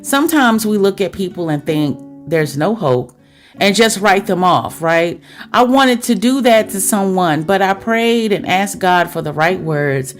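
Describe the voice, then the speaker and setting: A woman's voice: medium pitch, animated, unhurried, faint music behind. A woman podcast host talking directly to her listeners in a chatty, confiding girlfriend-to-girlfriend way, with rhetorical questions.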